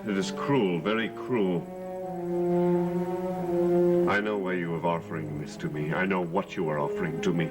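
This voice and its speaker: An actor playing a brooding, cursed man who speaks slowly and gravely, from an old television soap opera. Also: sits low, fairly even intonation, loud music behind the voice, close to an everyday voice. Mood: anguished. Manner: slow, grave, anguished delivery. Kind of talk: 1970s soap opera acting.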